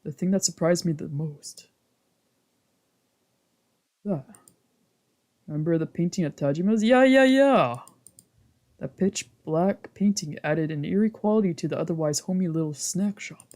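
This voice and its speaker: A woman streamer playing a visual novel, mixing casual, slangy, expressive commentary with reading the game text aloud. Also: fairly low pitch, unhurried, long pauses. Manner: expressive, reactive, exclamatory, slangy. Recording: music playing behind the voice.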